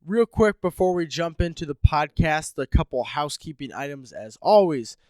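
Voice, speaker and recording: A man's voice: on the high side, expressive, normal speed, darkish tone, no room sound. A man hosting a solo podcast, stuffed up with a head cold, explaining things in an upbeat, informal way. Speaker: podcast host.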